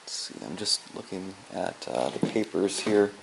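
A man casually narrating as he explores an abandoned farmhouse, musing quietly and sometimes dropping to a whisper, recorded on a handheld camera mic indoors. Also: lively intonation, normal speed, vocal fry, slight background noise, poor audio. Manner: musing, low-key, trailing off into soft whispers.

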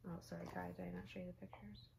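A young woman reads a picture book aloud in a bedroom, pausing for gentle asides. Her voice sits lowish with a gravelly texture. A faint background hum stays under her. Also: little pitch movement. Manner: gentle, chatty asides to listeners. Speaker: storybook reader. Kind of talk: read-aloud storytime. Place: bedroom, indoors.

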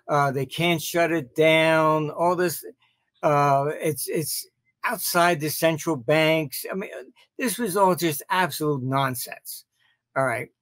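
An older man giving informal expert commentary as an interview guest on a video call, halting yet emphatic and dismissive. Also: a little high, no echo. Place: home office, indoors.

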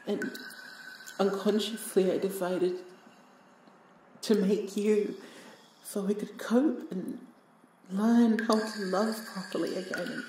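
A sorrowful, forgiving woman voiced by an actress in an emotional audio roleplay. She speaks slowly and softly, tearful and earnest, with halting tenderness in a roomy recording with faint noise. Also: medium pitch, fairly even intonation, distant mic, natural voice. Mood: sorrowful confession. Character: sorrowful, forgiving woman reconciling with her wounded past self.

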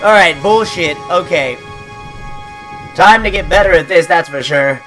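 A young man streaming a war game, loud, swearing and yelling at the action, into a headset mic in a small room. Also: high-pitched, measured pace. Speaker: gaming streamer. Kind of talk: let's play commentary. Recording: loud game music and gunfire behind.

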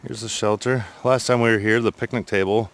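A man's voice: fairly low pitch, steady tone, brisk. A middle-aged man casually narrating a hike in the woods, talking in an easygoing, rambling way, recorded on a handheld phone outdoors. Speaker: hiking vlogger. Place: outdoors in a forest.

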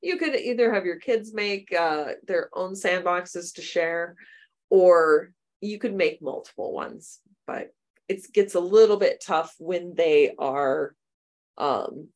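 Upbeat middle-aged American woman, slightly low voice, training teachers over a webcam call, chatty with easy laughs. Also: takes it slowly. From United States. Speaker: computer science teacher trainer. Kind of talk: webinar workshop demo. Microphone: webcam video call.

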